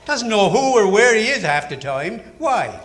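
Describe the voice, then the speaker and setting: A man playing a genial, rough-edged small-town bootlegger in a live radio play, talking in folksy slang. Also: high-pitched, big pitch swings, normal speed, fairly bright tone. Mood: casual, frank.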